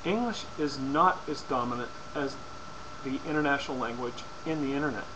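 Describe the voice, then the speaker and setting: A man reading a scripted persuasive lecture in a formal, deliberate way, occasionally stumbling over words. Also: medium pitch. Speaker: presenter. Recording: clearly noisy amateur recording.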